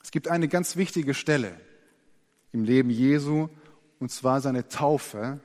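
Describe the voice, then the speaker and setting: A man preaching a sermon, earnest and expressive, slowing down and dropping to a soft voice to stress his points. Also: medium pitch. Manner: earnest, expressive, slows down and drops soft for emphasis. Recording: long church reverb.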